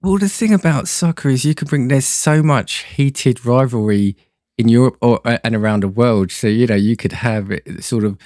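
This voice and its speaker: A man chatting casually as a podcast guest, enthusiastic but halting as he works through his thoughts. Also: medium pitch, a little mellow, dry recording, studio quality. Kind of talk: casual film chat.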